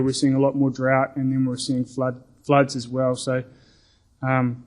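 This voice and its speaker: New Zealand man giving a measured talk. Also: mid-range voice, darkish tone. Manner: deliberate, frequent ums and repeated words. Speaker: conference speaker. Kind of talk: conference presentation.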